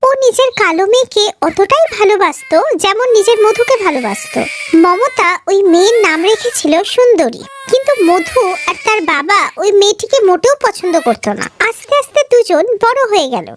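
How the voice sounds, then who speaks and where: Woman storyteller for cartoon moral tales, very high voice, dry sound. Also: very animated, brisk, dry recording. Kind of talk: scripted fairy-tale cartoon narration.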